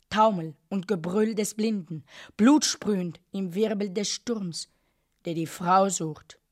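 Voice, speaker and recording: Very slow, solemn woman acting in radio drama. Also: medium pitch, natural voice. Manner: deliberate, measured, with weighted pauses. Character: solemn female narrator pondering a violent, dreamlike image.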